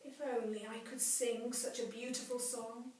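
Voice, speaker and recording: A woman's voice: a little high, flat delivery, moderate pace, darkish tone, recorded from a distance, voice pushed up, close to an everyday voice. A woman voicing a tiny, wistful, wide-eyed caterpillar turned butterfly in a children's storybook. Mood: wistful longing. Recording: very echoey room, faint hiss.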